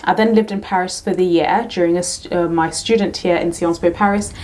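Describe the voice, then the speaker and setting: A woman's voice: lowish voice, steady tone, fairly quick, a little mellow. A young woman from Kenya, a teacher, casually answering questions to camera, repeating "really, really" and "to be honest" often. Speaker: teacher and YouTube tutor.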